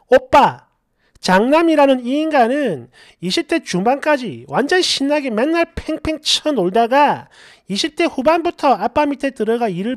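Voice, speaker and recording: A man's voice: very high-pitched, no echo, studio quality. A middle-aged man hosting a story channel, reading a first-person story aloud in a colloquial, exasperated, dramatized way with asides.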